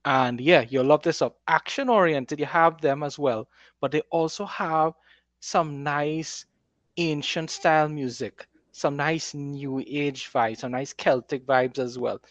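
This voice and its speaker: A man's voice: a little high, steady tone, a little slow, dry recording. A man hosting a casual conversation as an author, explaining things with enthusiasm. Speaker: host, author. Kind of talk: casual conversational talk about writing.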